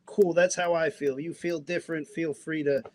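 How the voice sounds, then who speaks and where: Rambling man, higher voice. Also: steady tone, normal speed. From United States. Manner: rambling, many restarts and asides. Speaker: podcast guest. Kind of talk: casual political discussion.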